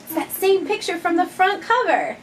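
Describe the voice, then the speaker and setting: High, animated woman reading to children. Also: normal speed. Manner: addresses listeners with questions. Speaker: parent reading aloud to children. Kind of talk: children's picture book read-aloud. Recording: noisy, distant microphone.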